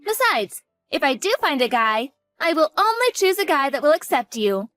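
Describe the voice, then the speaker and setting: A woman's voice: high voice, big pitch swings, normal speed, a bit bright, no room sound. A woman doing a panicky, devoted young daughter. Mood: reassuring. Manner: earnest, panicky, pleading. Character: devoted, frightened college-age daughter. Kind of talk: dramatized story acting.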